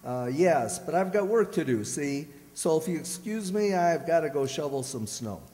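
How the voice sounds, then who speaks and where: A man acts out a blustery, grumbling husband in a radio comedy, his voice on the high side. He wisecracks in folksy slang, always a little exasperated. The recording has the long echo of a hall. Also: lively intonation, normal speed, warm tone, natural voice. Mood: grumpy, impatient. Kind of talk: old-time radio comedy acting. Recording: echoey hall stage recording.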